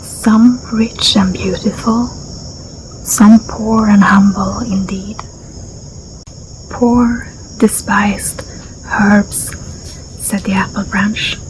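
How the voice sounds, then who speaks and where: A woman with a Swedish accent, a native Swedish speaker, reading a story as soft-spoken ASMR in a gentle, breathy voice that often drops to a whisper. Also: medium pitch, steady tone, poor audio. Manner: gentle, unhurried, soothing. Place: outdoors in a garden. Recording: clear outdoor ambience with insect noise. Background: crickets and insects, garden ambience.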